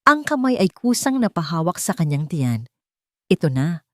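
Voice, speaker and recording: A woman's voice: fairly low pitch, very animated, normal speed, no echo, clean studio sound. A woman reading a scripted, dramatic love story as its narrator.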